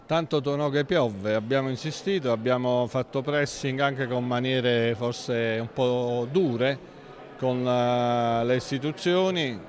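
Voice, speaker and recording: A man gives formal interview answers as a mayor, in measured institutional phrasing. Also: mid-range voice. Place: large indoor council hall. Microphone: handheld interview microphone.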